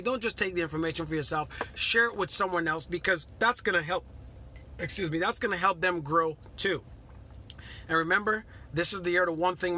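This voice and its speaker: A man's voice: high voice, sharp tone, slight background noise. A middle-aged man from Guyana giving a casual motivational talk on a live stream, earnest and repetitive, greeting viewers by name.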